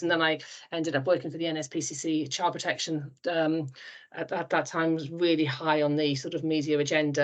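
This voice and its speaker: A woman in her fifties with a Welsh accent speaks in a fairly low voice. She talks frankly and chattily as a podcast guest, often restarting her sentences. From South Wales. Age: 50s. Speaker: trust board chair, guest. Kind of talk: podcast interview.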